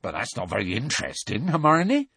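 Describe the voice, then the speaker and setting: A man's voice: mid-range voice, wildly swooping pitch, brisk, gravelly, bright, forceful tone, breathy edge, no echo, exaggerated voice. A man reading aloud, voicing a big, genial male groundskeeper with a hearty, folksy manner. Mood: enthusiastic.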